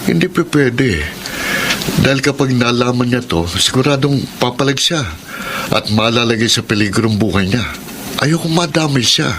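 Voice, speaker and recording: A man's voice: medium pitch, expressive, moderate pace, background noise, lightly acted. A man in a radio drama playing an ambitious, troubled young star, earnest and conflicted. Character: ambitious, troubled male actor, proud and conflicted.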